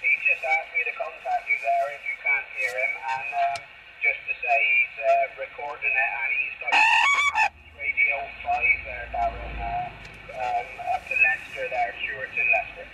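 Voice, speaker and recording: A man's voice: mid-range voice, wildly swooping pitch, moderate pace. A man casually relaying messages over a CB radio link. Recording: faintly noisy CB radio.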